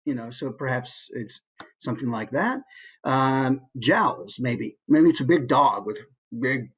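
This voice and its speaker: A man's voice: medium pitch, unhurried. A man giving a casual, playful instructional talk as a voice actor. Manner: animated, playful.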